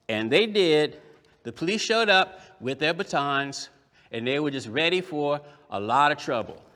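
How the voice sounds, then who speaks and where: A man with a fairly high voice tells a story to children in a big reverberant hall. His delivery swings widely in pitch. He stresses and repeats phrases for dramatic effect, stretching out key words. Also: fairly bright tone. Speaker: puppeteer and storyteller. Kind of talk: animated storytelling performance for children. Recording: echoey hall with long reverb.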